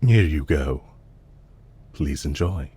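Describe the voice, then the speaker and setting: A man playing a courteous, calm villain leader in an audio roleplay, speaking in a polite, measured, formal and softly commanding way. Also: a bass voice, slightly rough, full character voice. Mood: solicitous, gentle. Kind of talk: scripted roleplay audio drama.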